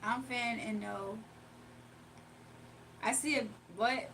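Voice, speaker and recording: A woman's voice: fairly high pitch, fairly even intonation, takes it slowly, creaky, a bit bright, slight background noise. A woman chatting casually on a live stream from her phone, reading viewer comments aloud and laughing often. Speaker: livestreamer.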